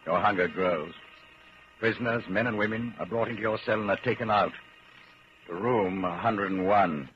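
An actor as a grave, deliberate narrator telling a grim story in the second person, in 1940s radio drama style. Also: male voice, on the low side, lightly acted. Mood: ominous. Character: grave, ominous male narrator addressing the hero. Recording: dull, hissy 1940s radio recording.

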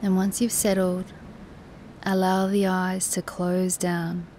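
A woman teaching guided relaxation in a soothing, soft, instructive way, with breathy, near-whispered moments and long pauses. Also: fairly low pitch, little pitch movement, slight background noise. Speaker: yoga nidra meditation teacher.

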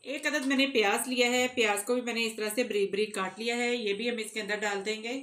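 A woman giving calm, step-by-step cooking instructions in a home kitchen, talking directly to her viewers while she cooks. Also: on the high side, a little fast. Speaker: cooking channel host. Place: home kitchen, off camera over a pot.

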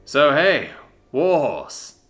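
A man's voice: a little high, wildly swooping pitch, very slow, a bit bright, faint music behind, full character voice. A man voicing a cocky young male recruit who jokes around with loose, joshing banter. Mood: teasing.

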